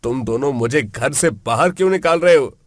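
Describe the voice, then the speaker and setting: A man's voice: mid-range voice, expressive, moderate pace, no room sound, clean studio sound, natural voice. A man voicing an old village farmer father, earnest, plaintive and heartbroken, in a storybook tale. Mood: pleading.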